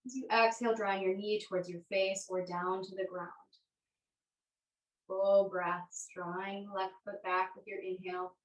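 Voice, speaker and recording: A woman teaching a yoga class, giving gentle, unhurried guided instructions. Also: medium pitch, little pitch movement. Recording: echoey room, distant microphone.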